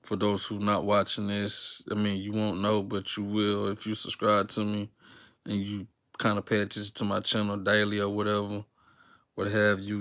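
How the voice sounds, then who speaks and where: A young man from Texas, talking unscripted to his viewers in a rambling, slang-filled way with lots of fillers. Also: lowish voice. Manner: rambling, relaxed slang-filled talk with many fillers and restarts. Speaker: YouTuber. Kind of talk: unscripted casual talk to viewers, live stream.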